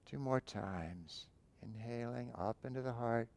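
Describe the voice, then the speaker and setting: A man guiding a breathing meditation, giving calm, unhurried instructions with long pauses between phrases. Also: medium pitch. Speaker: meditation teacher.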